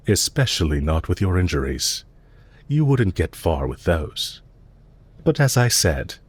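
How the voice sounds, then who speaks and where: A man playing a calm, courteous villain leader in an audio roleplay, measured, formal and politely condescending, with quiet menace and amusement. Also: low voice, slightly rough, exaggerated voice. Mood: calm, controlled. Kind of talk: audio roleplay, intimate scripted acting addressed to listener.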